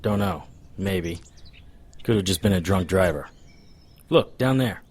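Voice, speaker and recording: A man's voice: low voice, animated, unhurried, a little mellow, slightly rough, full character voice. A man playing a world-weary occult investigator in an audio drama, dry and clipped, narrating noir style. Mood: matter-of-fact.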